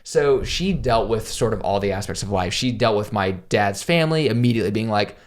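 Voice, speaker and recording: A man's voice: fairly low pitch, lively intonation, fairly quick. Young man telling candid stories. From South Carolina, USA. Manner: candid, storytelling, self-deprecating jokes. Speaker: podcast guest, pro skateboarder. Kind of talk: casual conversation.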